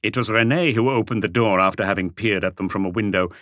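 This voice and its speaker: A man's voice: fairly low pitch, quick pace, a bit bright, no room sound, studio quality. A man reading scripted detective fiction as an audiobook narrator.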